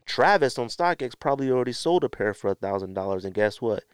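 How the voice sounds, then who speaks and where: A man's voice: mid-range voice, expressive, quick pace, smooth, no echo, clean studio sound. A man hosting a solo podcast, talking in a blunt, exasperated way with emphatic rhetorical questions, like an opinionated rant. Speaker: podcast host.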